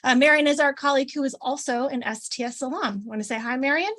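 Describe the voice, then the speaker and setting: A woman's voice: high-pitched, fast talker, a bit bright. A woman hosting an online panel over a video call, chatty and loose, with lots of ums and restarts. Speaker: host of an online alumni panel. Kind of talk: casual live-stream hosting.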